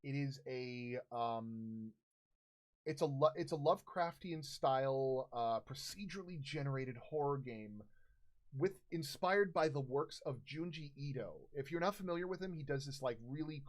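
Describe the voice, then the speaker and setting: A man in his thirties hosting a casual livestream, telling stories in an animated way and acting out quotes. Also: medium pitch, moderate pace. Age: 30s. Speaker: streamer and host.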